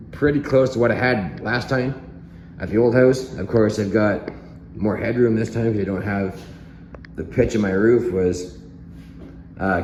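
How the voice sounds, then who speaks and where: Hoarse man rambling in an echoey basement. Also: lowish voice, a little hiss or hum. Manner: relaxed, rambling, chuckles often. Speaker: vlogger. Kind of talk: casual walkthrough chat. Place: home basement under renovation.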